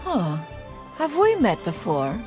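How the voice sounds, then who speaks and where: A woman's voice: medium pitch, huge pitch swings, slow. An actress playing a haughty, refined immortal woman in a video game, speaking in a formal, lofty, archaic way. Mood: composed. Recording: music behind the voice.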